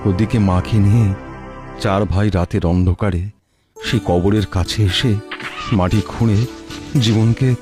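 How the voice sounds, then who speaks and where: Deep-voiced male cartoon narrator. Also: steady tone, unhurried, long pauses, over music. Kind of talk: scripted cartoon story narration.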